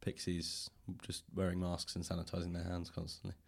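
A man's voice: low voice, monotone, fairly quick, vocal fry. A man hosting a podcast interview, talking in a casual, conversational style as he chats and puts questions to a guest. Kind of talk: casual chat. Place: library room.

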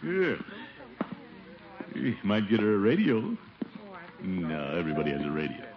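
An actor playing a pompous, excitable middle-aged American bachelor in a theatrical, exclamatory old radio sitcom style. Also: male voice, on the low side, moderate pace. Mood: harried. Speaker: lead comic actor. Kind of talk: 1940s-50s radio sitcom acting. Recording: dull, hissy old radio recording.

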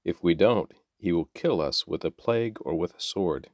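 Guy reading earnest pleading prophets, low steady voice, dry. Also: moderate pace, no room sound. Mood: urgent. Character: two male prophets pleading with a king.

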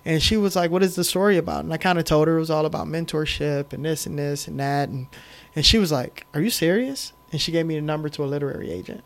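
A man, a film director telling his story as a guest in a casual interview, animated and full of ums. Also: fairly high pitch, fast talker, vocal fry. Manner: animated storyteller, many ums, faith talk.

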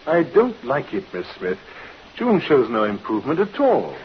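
A man's voice: medium pitch, huge pitch swings, normal speed. A man playing a suspicious, insinuating relative in vintage radio drama, pointed and sardonic. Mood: suspicious, cutting. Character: suspicious, insinuating male cousin of an heiress. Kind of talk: 1950s radio drama acting. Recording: muffled old radio recording with hiss.

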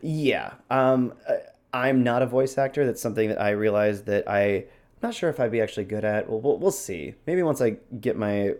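A man with a slightly low voice explains performance technique as a co-host. His delivery is informal and conversational, like a teacher chatting with peers. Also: moderate pace, darkish tone. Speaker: co-host with acting background. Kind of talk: conversational instructional chat.

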